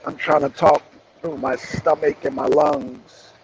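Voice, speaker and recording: Raspy high-voiced man streaming live. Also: very animated, unhurried, slight background noise, low-quality recording. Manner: rambling, repeats phrases, greets viewers by name. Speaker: streamer hosting a wrestling show. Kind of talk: casual live-stream talk to chat.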